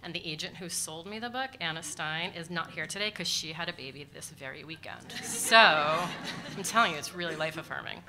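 A woman's voice: sits low, a little fast, background noise. A woman book editor speaking on a conference panel into a table microphone, enthusiastic and full of "um" fillers. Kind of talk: panel pitch and discussion. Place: large conference hall.

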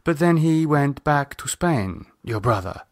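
A man's voice: a little high, animated, a little slow, dry recording, studio quality, pitched above the performer's own voice. A man voicing a worried, caring woman in an audiobook, questioning earnestly with some reproach. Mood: surprised, questioning. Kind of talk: audiobook character dialogue.

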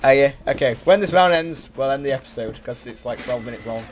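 A young man giving casual, excitable, rambling and self-deprecating commentary while playing a video game. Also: medium pitch, fast talker. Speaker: gaming video host. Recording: noisy game audio behind the voice.

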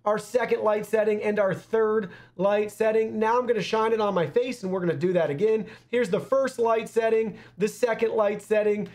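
A man's voice: sits high, steady tone, normal speed, few pauses, a little mellow. Upbeat young man presenting a product review. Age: young adult. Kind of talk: scripted-sounding product review presentation to camera. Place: small indoor studio.

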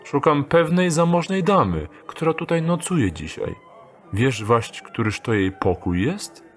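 An actor playing a sly thief who poses as a courteous messenger in an audio drama, speaking in formal, archaic, polite phrases. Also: male voice, fairly high pitch, sing-song, a little slow, faint music behind. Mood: courteous, feigning.

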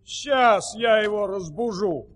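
Loyal droll servant: extremely high voice, slow, theatrical, for audio play. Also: male voice, heavy background noise. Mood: reassuring. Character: loyal quirky servant of a baron, eager and droll.

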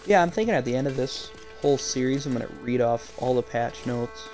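Gravelly male game streamer, calm and near-monotone, chatty and rambling, with music under him. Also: mid-range voice, fairly quick. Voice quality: calm, fairly monotone delivery. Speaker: gameplay streamer. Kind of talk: casual game commentary.

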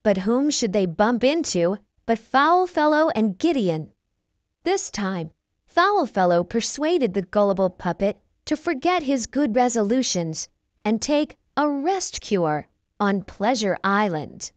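A woman reading a children's storybook aloud, slow, clear and expressive. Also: a little high, dry recording. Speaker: children's book reader. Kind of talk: storybook read-aloud narration.

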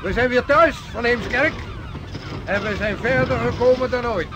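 Hearty, high-pitched sea captain. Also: male voice, normal speed. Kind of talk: Dutch radio drama acting. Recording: noisy outdoor ship-deck ambience.

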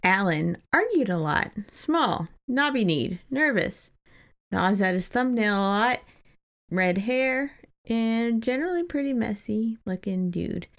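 A woman's voice: fairly high pitch, lively intonation, unhurried. A woman hosting a podcast, chatting casually about a book in an informal, joking way. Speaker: podcast host. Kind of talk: casual book discussion chat.

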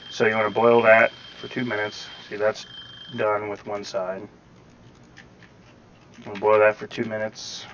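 A man's voice: lowish voice, completely flat delivery, measured pace, long pauses, fairly bright tone, low-quality recording. A man giving casual gameplay tutorial commentary, rambling step by step with some hedging, his voice sounding slightly robotic over the recording. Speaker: gaming tutorial host. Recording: slightly robotic processing, faint background noise.

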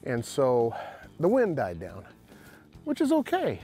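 A middle-aged man hosting a fishing show, explaining tackle in a folksy way from a bass boat on an open lake. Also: slow pace, soft background music. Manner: folksy, excited when landing fish. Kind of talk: outdoor fishing instruction.

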